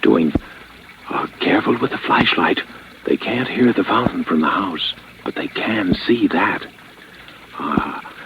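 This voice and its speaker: A man's voice: low-pitched, dull audio, a little hiss or hum. An actor playing a desperate, cold murderer scheming his perfect crime in a brooding, theatrical old radio drama monologue. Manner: theatrical, brooding, halting self-talk. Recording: band-limited old radio recording with music behind.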